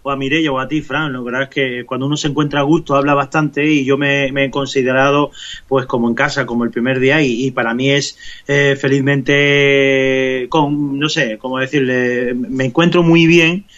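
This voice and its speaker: A man's voice: mid-range voice, little pitch movement, normal speed, a bit bright, dull audio. A man who is a guest on a casual radio sports panel, talkative and rambling, often restarting his sentences. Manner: talkative, rambling, with many restarts and self-corrections.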